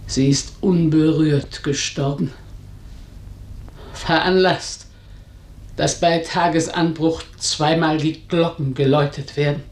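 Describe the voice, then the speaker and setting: A woman's voice: low voice, steady tone, slow, a little mellow. An actress playing a tyrannical older mother, a proud village matriarch, in a German radio drama, with a severe, commanding, declamatory delivery. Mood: cold command. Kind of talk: German radio drama, theatrical tragic acting. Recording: roomy, echoing radio studio sound.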